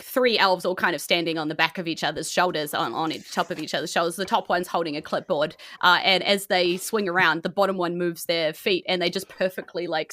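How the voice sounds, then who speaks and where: A woman's voice: on the low side, fairly bright tone. A woman with a New Zealand accent, improvising scene descriptions as game master in a loose, conversational way. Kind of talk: improvised tabletop game narration.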